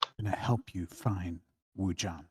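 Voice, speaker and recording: A woman with a husky voice playing a caring, reassuring guardian of a young boy at a screenplay table read. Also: rumbling low, unhurried, full character voice.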